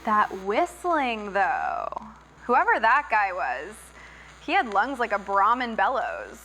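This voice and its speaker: A woman playing a quick-witted, skeptical wasteland radio co-host with a teasing, sardonic manner. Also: medium pitch, sing-song, sharp tone, a little hiss or hum, exaggerated voice, low-quality recording.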